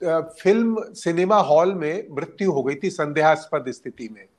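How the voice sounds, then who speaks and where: A man giving unscripted political analysis as a journalist, speaking haltingly with hesitant pauses mid-sentence. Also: a little high, expressive, darkish tone.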